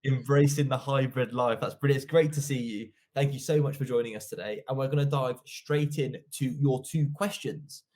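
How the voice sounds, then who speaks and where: A man's voice: medium pitch, normal speed, hardly any pauses, darkish tone. A young man hosting an online interview in a polite, formal, effusive way. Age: young adult. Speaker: interviewer, host. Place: indoor office, video call.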